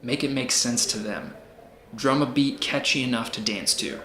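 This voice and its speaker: A man playing a smug, philosophizing tycoon running for president in an audio drama, measured and condescending. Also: medium pitch, a bit bright, roomy sound, slight background noise, natural voice. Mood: persuasive, commanding. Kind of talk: amateur audio drama acting.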